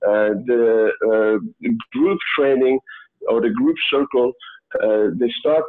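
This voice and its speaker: A man in his thirties who grew up in Sweden, talking casually as a guest, halting with many fillers and restarts. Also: mid-range voice. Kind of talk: casual interview talk. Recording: tinny phone-like line.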